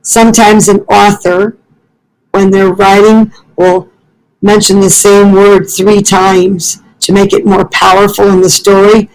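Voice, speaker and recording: A woman's voice: medium pitch, flat delivery, unhurried, darkish tone, poor audio. A woman, a school librarian, reading a story aloud to young children and carefully explaining it in asides.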